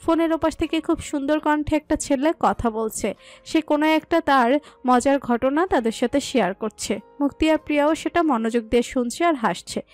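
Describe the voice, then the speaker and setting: A woman's voice: high voice, normal speed, dry recording, faint music behind. A woman reading scripted narration for a romantic story.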